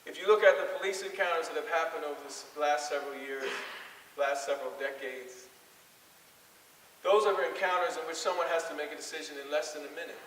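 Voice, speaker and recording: A man giving a public lecture as an academic speaker, in a measured, rhetorical way that repeats phrases for emphasis. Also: fairly high pitch, a bit bright. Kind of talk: public lecture with questions to the audience. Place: large indoor auditorium with aisles. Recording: echoey hall from far off, faint hiss.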